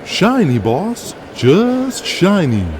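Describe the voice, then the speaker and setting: An actor playing an eager, chummy backstage wrestling sidekick who talks in an exaggerated drawl in an audio drama. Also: male voice, high-pitched, wildly swooping pitch, extremely slow, background noise, heavily acted. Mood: gleeful flattery.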